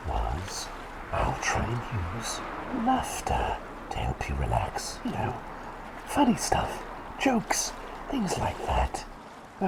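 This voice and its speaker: A man voicing a kindly, forgetful doctor turned talking bird in a gentle ASMR roleplay, breathy and half-whispered, often hesitating and repeating his phrases. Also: mid-range voice, exaggerated voice, low-quality recording. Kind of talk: ASMR roleplay with personal attention.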